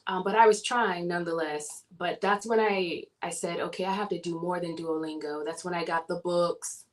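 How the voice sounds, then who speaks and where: A woman from the southern United States, a guest in a casual interview, telling her story in an animated way and laughing often. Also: on the low side, moderate pace.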